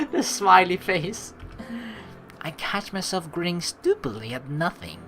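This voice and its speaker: A woman doing gameplay commentary, reading story text aloud expressively and reacting to it in an animated way. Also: fairly low pitch, takes it slowly, noisy background. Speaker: let's play host reading a visual novel aloud. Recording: game music behind the voice. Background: game music.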